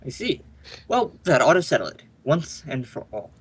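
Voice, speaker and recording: A man playing a polite but firm fingerprint official in an old time radio drama, husky and formal. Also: medium pitch, sing-song, normal speed.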